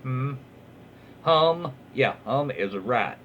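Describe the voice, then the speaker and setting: A man's voice: medium pitch, animated, slow pace, phone-quality sound, a little hiss or hum. A man from Alabama with a Southern accent, reading online posts aloud and breaking off for wry, joking asides. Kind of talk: casual reading with commentary.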